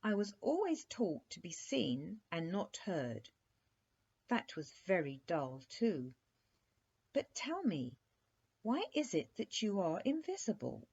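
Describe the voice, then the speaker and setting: A woman's voice: fairly low pitch, big pitch swings, slow, vocal fry, darkish tone, husky, exaggerated voice. A woman voicing an eager, forthright young princess in a storybook, direct and full of questions. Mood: puzzled, frank. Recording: dull, muffled lo-fi recording.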